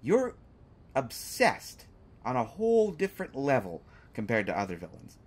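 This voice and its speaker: A man acts out a cheeky, unafraid male hostage in a superhero audio roleplay. His mid-pitched voice swoops up and down, dripping with sarcasm and teasing, exaggerated mock reactions. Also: huge pitch swings, normal speed, husky. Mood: taken aback, teasing. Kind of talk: scripted roleplay audio drama monologue.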